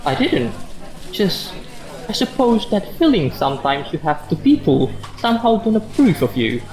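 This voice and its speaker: A man's voice: high-pitched, big pitch swings, moderate pace, a little mellow. Man acting a wordy, sardonic office worker, echoey. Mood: uneasy, searching. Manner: wordy, bookish, dryly sardonic. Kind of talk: radio drama dialogue. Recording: echoey room sound.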